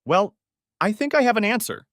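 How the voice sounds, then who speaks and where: A man's voice: sits high, very animated, moderate pace, fairly bright tone, no echo, clean studio sound, pitched above the performer's own voice. A man voicing a fed-up, sarcastic middle-aged husband in a narrated story. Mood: angry, fed up.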